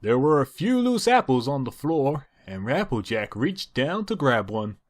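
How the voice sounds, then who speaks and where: A man's voice: mid-range voice, very animated, measured pace. A man reading a story aloud as narrator, in a dramatised storybook style.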